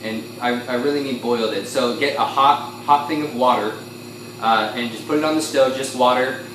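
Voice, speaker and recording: A man's voice: medium pitch, distant mic. Echoey man giving an informal music lesson. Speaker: instructor demonstrating a talk box. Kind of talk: informal instructional explanation. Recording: echoey room, off-mic, some noise.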